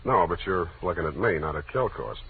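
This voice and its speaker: An actor playing a hard-boiled, cynical private detective with a dry, sardonic, slangy delivery in a 1940s radio drama. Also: male voice, sits low, expressive, fairly quick, creaky. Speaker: lead character and narrator. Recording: noisy, narrow-band old radio recording.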